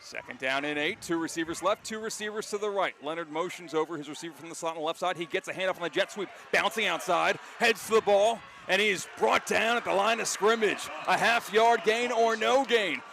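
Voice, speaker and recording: High-pitched American play-by-play man, noisy outdoor broadcast. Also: brisk, few pauses. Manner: excited, shouts on big plays. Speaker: play-by-play announcer. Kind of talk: live American football radio commentary. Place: live at a football stadium. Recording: clear outdoor stadium background noise.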